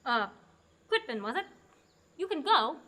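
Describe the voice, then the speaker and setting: A woman playing a brisk, dry, self-assured aspiring knight in a radio play. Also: high voice, sing-song, a bit bright, a little hiss or hum, heavily acted.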